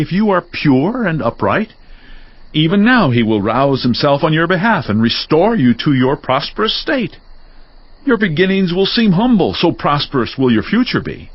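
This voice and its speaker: An actor playing a stern, moralizing friend who rebukes and counsels in a preachy, declamatory dramatized scripture reading. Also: male voice, medium pitch, no echo, highs cut off. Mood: earnest admonishing.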